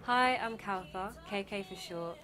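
A young woman in her late teens, a student, introducing herself to camera from a bedroom in a relaxed, sincere way. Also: medium pitch, unhurried. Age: young adult. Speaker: student contestant.

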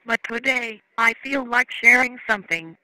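Kids' show robot cat: cheery, friendly, very high-pitched, dry close sound. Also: male voice, normal speed, pushed and bright, no room sound, heavily acted, low-quality recording. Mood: cheery, eager. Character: cheery cartoon animatronic cat entertainer for children. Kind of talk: children's show stage patter.

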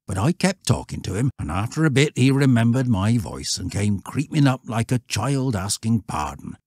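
A man voicing an old rural shepherd who speaks in broad country dialect and gravely warns of danger. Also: medium pitch, darkish tone, dry recording, studio quality, voice pushed up.

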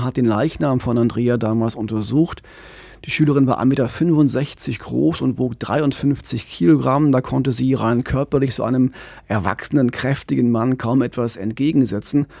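A middle-aged man co-hosting a true-crime podcast in a radio studio, explaining calmly with some restarts. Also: medium pitch, moderate pace, gravelly, dry recording. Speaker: podcast co-host and crime reporter. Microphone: studio microphone with pop filter, headphones.

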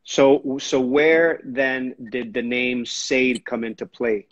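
A man hosting an interview, asking thoughtful, measured questions over a video call. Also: mid-range voice, steady tone, lo-fi sound. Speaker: interviewer host.

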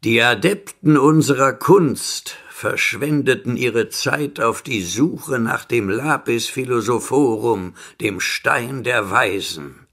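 Slow, husky, sinister alchemist. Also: male voice, mid-range voice, fairly bright tone, exaggerated voice. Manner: slow, pompous, theatrical declaiming. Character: sinister, obsessive, ageless male alchemist, contemptuous and grandiose. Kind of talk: horror audio drama acting.

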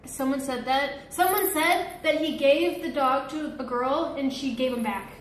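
A woman's voice: sits high, a little slow. A woman in her twenties chatting casually on a livestream. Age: 20s. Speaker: streamer co-host. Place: small kitchen, indoors. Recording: roomy echo, faint noise.

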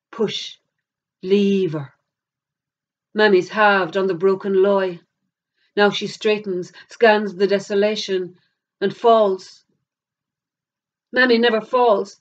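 A middle-aged woman with an Irish accent, a poet reading verse aloud in a measured, deliberate way. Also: mid-range voice. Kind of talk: poetry reading. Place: plain indoor room against a bare wall. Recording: dull lo-fi camera sound.

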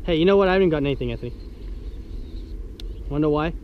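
A man's voice: fairly high pitch, racing. An American man vlogging outdoors from a fishing boat, chatting casually, exclaiming and joking as he talks to his camera. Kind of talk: casual excited chat while filming fishing. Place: outdoors on a small bass boat on water. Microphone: action camera worn by the speaker. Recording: dull action-camera audio, outdoor boat noise.

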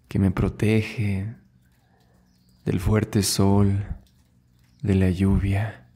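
A man with a low, gravelly voice guides a meditation. He speaks very slowly and soothingly, in short phrases separated by long pauses. His delivery stays very even in pitch. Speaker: yoga and meditation instructor, host.

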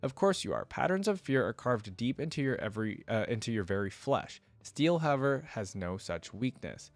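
A man reads the part of a calculating male scientist from a storybook, speaking in measured, persuasive lectures. His voice sits at a middle pitch with a close, dry sound. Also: big pitch swings, no echo. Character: calm, calculating male scientist preaching against fear. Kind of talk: storybook read-aloud.

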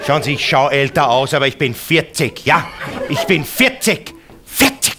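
A man with a Viennese accent doing live cabaret, trading quick, exasperated lines with a heckler. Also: fairly high pitch, big pitch swings, a crowd in the background. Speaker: cabaret performer. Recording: live stage recording with audience laughter.

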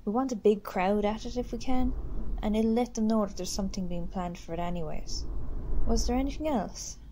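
An Irish woman acting as the chair of a parish committee in an amateur radio drama. She is brisk and businesslike, in an everyday mid-range voice. There is noticeable noise on the recording. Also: mid-range voice, warm tone, natural voice.

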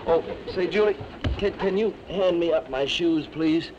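A man's voice: a little high, a little slow, highs cut off, lightly acted. An actor playing a cheerful young man who jokes his way through a mix-up on a sleeper train. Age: young adult. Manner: cheerful, joking. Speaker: train passenger. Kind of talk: 1950s television drama acting. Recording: hissy 1950s film soundtrack.

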